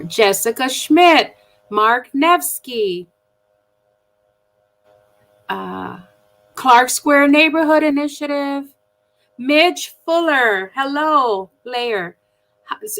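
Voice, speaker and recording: A woman with a high, bright voice runs an online forum and greets the viewers one by one in a warm, chatty way. She talks over a video call. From Milwaukee, United States. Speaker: forum moderator.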